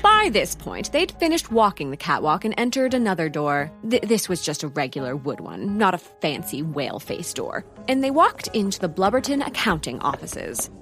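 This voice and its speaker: An actress as the wry storyteller of a comic audio drama, delivering scripted narration. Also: female voice, fairly low pitch, sing-song, normal speed, hardly any pauses, a bit bright, soft background music.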